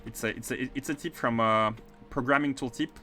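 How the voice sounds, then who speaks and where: A man's voice: medium pitch, fairly quick, soft background music. A man with a mixed Russian and French accent giving casual, filler-heavy commentary while he plays a game. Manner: chatty, many fillers, bursts of excitement. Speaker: game streamer.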